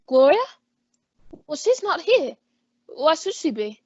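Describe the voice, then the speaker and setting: A jittery, stammering man with something to hide, played by a young woman, in a high, strained voice. The sound is dry and somewhat dull, like a school radio play. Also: very animated, slow pace, darkish tone, dry recording, exaggerated voice. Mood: flustered. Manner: flustered and stammering. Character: nervous, flustered man hiding something. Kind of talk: old-time radio drama acting.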